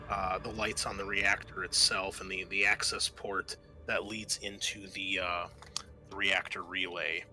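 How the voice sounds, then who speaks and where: A man acts as game master in a tabletop roleplaying session. His voice is bright and forceful, describing scenes in halting, improvised detail. Soft music plays under his words. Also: on the low side.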